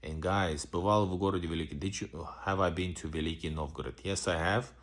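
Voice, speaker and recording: A man's voice: deep voice, fairly even intonation, brisk, a bit bright. A man hosting a casual live-stream Q&A as a vlogger, rambling loosely with many restarts and fillers. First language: Russian. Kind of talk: casual live-stream chat answering comments.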